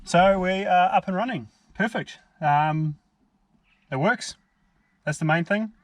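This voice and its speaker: A man's voice: high-pitched, slow pace. An Australian man with an informal, laid-back manner, talking casually through a hands-on product review. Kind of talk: casual hands-on vlog narration. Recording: clearly noisy amateur vlog audio.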